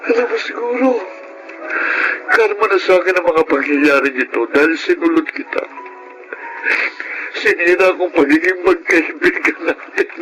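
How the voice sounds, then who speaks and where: A man's voice: high voice, normal speed, over loud music, natural voice, poor audio. An actor playing a grieving, remorseful widower confessing his troubles in a Filipino radio drama, in an anguished manner.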